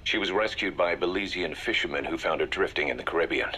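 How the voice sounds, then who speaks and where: A man playing a grave, calculating intelligence briefer for mercenaries, measured and serious, in a video game audio drama. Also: low voice, pushed and bright, husky, heavily acted.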